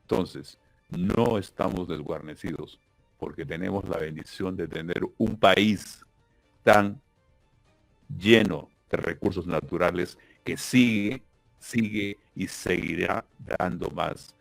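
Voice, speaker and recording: Peruvian male candidate, emphatic and solemn; deep, slow delivery. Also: gravelly, no room sound, faint music behind. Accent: Peruvian Spanish. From Peru. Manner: solemn, emphatic, repeats words while searching. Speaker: political candidate. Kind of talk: campaign interview speech.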